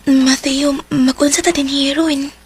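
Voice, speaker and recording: A woman's voice: high voice, little pitch movement, a little slow. A woman with a Cebuano accent acting a hesitant, timid young woman being courted in a radio drama. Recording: loud music under the voice.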